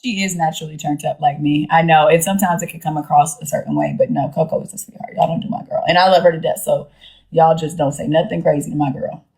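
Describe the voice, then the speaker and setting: A woman with a slightly low voice hosts a casual live stream. She speaks bluntly and sassily, leaning on slang and swearing freely. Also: steady tone, fast talker, warm tone, slightly rough, rough recording. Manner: blunt, sassy, profane, slangy. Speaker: livestream host.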